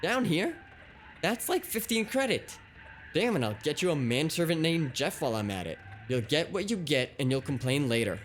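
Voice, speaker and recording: A man's voice: fairly high pitch, very animated, moderate pace, a little hiss or hum. A man playing a blunt, wry, grumbling companion in a cyberpunk noir audio drama. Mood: exasperated.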